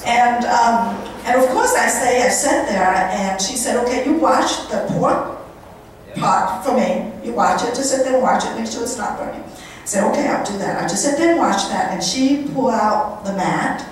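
A woman's voice: a little high, normal speed. A middle-aged woman telling a personal story as a live monologue, conversational and acting out bits of dialogue. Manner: conversational, animated retelling with quoted dialogue. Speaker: guest speaker at a charity event. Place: indoor function room at a country club. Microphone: handheld microphone, picked up by a far camera. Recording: echoey room, distant camera microphone, room noise.

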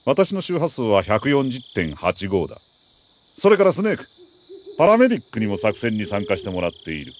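A lower-pitched, animated military commander. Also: male voice, a little hiss or hum. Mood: grave, commanding. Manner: crisp, authoritative, deliberate. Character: male military major, mission commander, precise and earnest. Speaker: mission commander briefing an agent. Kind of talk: dramatic video game radio briefing.

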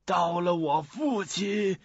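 A man playing a chatty, boastful old theater odd-jobber with a husky, creaky voice and folksy manner in a radio drama. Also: sits high, takes it slowly, dry recording, exaggerated voice. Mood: proud. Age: older. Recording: muffled lo-fi audio with faint music.

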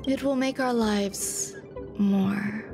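Aloof, calm female genius, music behind. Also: mid-range voice. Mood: calm, secretive. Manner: calm, measured, detached. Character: aloof, soft-spoken female genius scientist, emotionally detached. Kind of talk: scripted video game voice acting. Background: game music.

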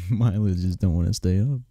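A man playing a sleepy, affectionate, playful husband in bed, speaking softly and teasingly in an intimate ASMR roleplay, close to the mic. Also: sits low, no room sound. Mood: sleepy, tender. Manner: soft, drowsy, teasing, unhurried. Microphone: close, dry microphone.

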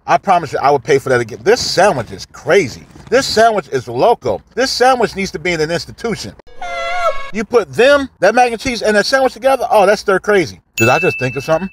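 Animated man with a highish voice. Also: brisk. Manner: animated, slangy, profane, talking while eating. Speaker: food review vlogger. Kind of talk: casual food review to camera. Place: inside a parked car. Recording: clear background noise in a car.

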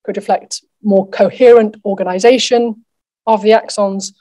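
A middle-aged woman delivers an academic keynote lecture in a mid-pitched voice. Her delivery is measured and explanatory, as a researcher presenting to a conference. She speaks at a lectern in a lecture theatre. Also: fairly even intonation, mellow.

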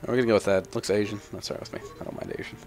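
A man's voice: fairly low pitch, fairly even intonation, racing, a little mellow, faint music behind. A young man giving casual gameplay commentary in a sweary, irreverent, rambling manner. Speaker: gamer, let's player.

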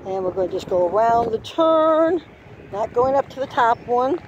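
A woman walking an outdoor horse course with her phone and explaining each obstacle in an unhurried way, now and then correcting herself. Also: a little high, lively intonation, darkish tone, dull audio. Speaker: course designer giving a walk-through. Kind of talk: instructional course walk-through. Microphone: handheld phone camera. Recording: faint outdoor noise, sometimes far from the mic.

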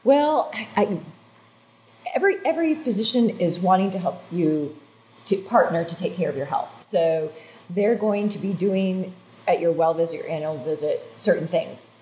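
A woman's voice: lowish voice, normal speed, low-quality recording. An older woman, a wellness guest on a studio talk show, explaining health advice earnestly with restarts and "so" and "um", recorded on a lapel mic. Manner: earnest, explanatory, animated hand gestures. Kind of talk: informative interview talk. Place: TV studio set.

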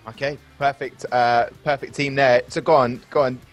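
A man's voice: medium pitch, fairly even intonation, moderate pace, soft background music. A man in his thirties hosting a casual interview over a video call, upbeat and chatty. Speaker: host.

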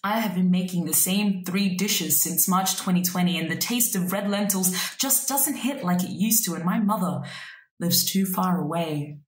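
A woman in her thirties, a native Arabic speaker, reading her own poem aloud in a slow, measured, reflective way at a desk webcam in a small room. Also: on the low side, reverberant. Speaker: poet. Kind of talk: spoken word poetry reading.

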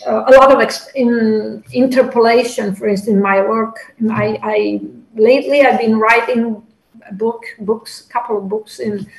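A woman's voice: a little high, steady tone, measured pace, creaky. An older woman with an Italian accent, a scholar speaking as a guest in an interview over a video call, thinking aloud in long qualified sentences with small laughs. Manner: searching, qualifying phrasing with soft laughs. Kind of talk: reflective academic conversation. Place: small room at home. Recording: echoey room on a video call.

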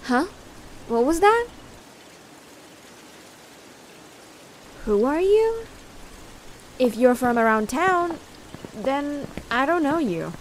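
A young woman playing a bossy, easily exasperated teenage twin sister in a video game fandub, brisk and scolding. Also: high-pitched, very animated, a little hiss or hum, heavily acted. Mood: wary. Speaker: amateur voice actor.

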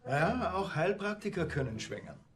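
An actor playing a chatty village police officer. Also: male voice, high-pitched, sing-song, normal speed. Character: jovial Bavarian village police officer, man.

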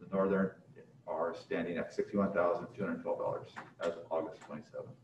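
A man's voice: low voice, fairly even intonation, a little fast, slight background noise. A man chairing a board meeting, formally reading out agenda items, picked up by a distant mic in an echoey, muffled room. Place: indoor council chamber. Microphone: distant room microphone on a video call.

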